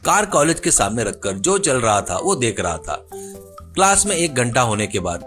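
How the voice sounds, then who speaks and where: A man's voice: medium pitch, big pitch swings, normal speed, a bit bright, faint music behind. A man narrating a scripted moral story for a cartoon.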